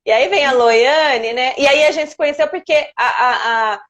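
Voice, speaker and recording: A woman hosting a casual live video chat, with a fairly high voice. She chimes in playfully and teasingly while her guest talks. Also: moderate pace, fairly bright tone. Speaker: host. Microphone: phone or laptop on a live video call.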